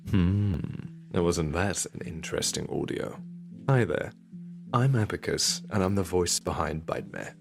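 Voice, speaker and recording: A man with a gravelly voice giving a scripted outro to his listeners as channel host. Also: low-pitched, lively intonation, normal speed. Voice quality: gravelly, creaky. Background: guitar music.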